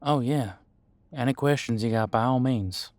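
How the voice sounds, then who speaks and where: A man playing an easygoing demon homicide detective in an audio roleplay, talking in a casual, folksy, reassuring way with hesitant pauses. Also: mid-range voice, a little mellow, dry recording, close to an everyday voice. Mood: friendly, reassuring. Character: easygoing male demon homicide detective mentoring new partner. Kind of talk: audio roleplay drama, conversational monologue.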